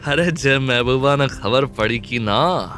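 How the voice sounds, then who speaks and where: A man's voice: medium pitch, slow pace, fairly bright tone. A man voicing a cruel young male villain who mocks and taunts, in an audio drama. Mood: gloating. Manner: mocking, taunting, cackling.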